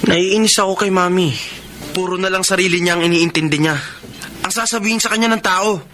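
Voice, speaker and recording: A man's voice: sits high, fairly even intonation, brisk, pushed and bright. A man playing a pampered, sulky young adult son in a radio drama, with a petulant, pleading manner. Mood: sulky, resentful. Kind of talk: Tagalog radio drama acting.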